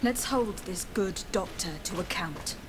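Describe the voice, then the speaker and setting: A woman's voice: fairly low pitch, measured pace, over music, natural voice. A woman playing a resolute adventurer heroine in a video game. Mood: determined. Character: resolute adventurer heroine promising rescue and justice.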